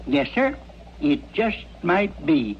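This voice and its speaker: An actor playing a scrawny old trail drifter, blustery and sly, with a rural American accent in a radio western. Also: male voice, high voice, very animated, slow pace, exaggerated voice. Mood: folksy, ingratiating. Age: older. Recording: muffled, noisy old radio recording.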